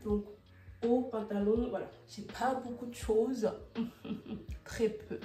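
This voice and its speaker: A woman's voice: a little high, steady tone, slow, warm tone. A woman vlogging casually while she tidies her bedroom. She speaks French as her second language, chats with many fillers and restarts, and slips in English phrases. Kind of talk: casual chat while tidying. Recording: roomy and distant, with faint music.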